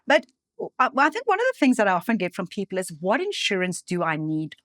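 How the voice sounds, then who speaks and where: A woman's voice: mid-range voice, wildly swooping pitch, brisk, a bit bright, no echo, studio quality. A woman from South Africa hosting a money podcast, chatting and thinking aloud as she puts questions to her guest. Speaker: podcast host. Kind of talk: conversational interview.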